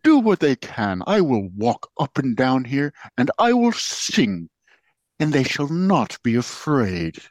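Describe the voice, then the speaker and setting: A man's voice: mid-range voice, huge pitch swings, takes it slowly, no echo. A man playing a grudging, put-upon playboy Corgi in an audio play, delivered theatrically.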